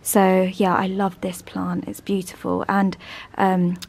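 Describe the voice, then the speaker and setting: A woman's voice: mid-range voice, monotone, takes it slowly, darkish tone. A woman chatting casually and affectionately to her vlog audience. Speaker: vlogger, host of a personal channel.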